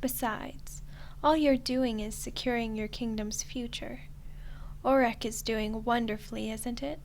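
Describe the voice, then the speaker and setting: A woman playing a smug, teasing archdemon in a roleplay ASMR style, soft and condescending, with an unhurried delivery. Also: on the high side, steady tone, a little hiss or hum, rough recording. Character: smug, teasing female archdemon toying with a human ruler.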